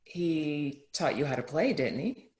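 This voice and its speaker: A woman reading the part of a caring, playful mentor in a screenplay table read, speaking supportively and deliberately. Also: sits low, animated, a little mellow. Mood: gently probing. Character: encouraging, playful mentor called Doc, steady and caring.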